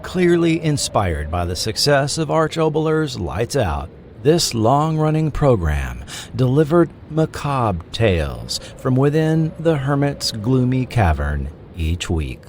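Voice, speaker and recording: Measured, expressive male documentary narrator. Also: medium pitch, a little hiss or hum. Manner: measured, dramatic, storytelling. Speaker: podcast narrator.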